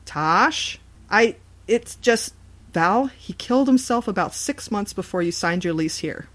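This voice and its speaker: A woman's voice: mid-range voice, expressive, unhurried, a bit bright. A woman voicing a frightened, reluctant landlady breaking grim news in an audio horror story. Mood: grave, reluctant.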